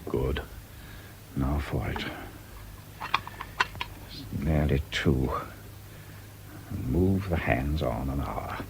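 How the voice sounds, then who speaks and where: Deep-voiced, measured doctor. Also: male voice, vocal fry, noisy background, exaggerated voice. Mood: furtive muttering. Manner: polite, understated, deliberate. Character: measured, discreet, quietly scheming male doctor. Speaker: radio drama actor. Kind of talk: radio drama acting.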